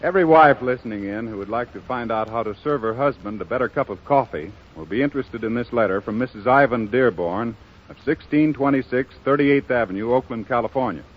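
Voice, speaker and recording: A man's voice: mid-range voice, brisk. A man reading a scripted 1930s radio advertisement as an announcer, in a polished, persuasive salesman's manner. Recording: dull, muffled 1930s radio broadcast.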